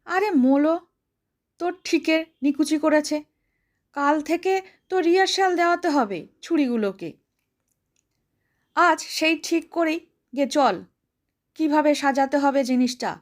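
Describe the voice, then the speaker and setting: A bossy, stout older woman, as a female storyteller plays her, with a high voice and a sharp tongue. She scolds brashly in homely colloquial speech, ordering people about with rough affection. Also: measured pace, long pauses. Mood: impatient, commanding.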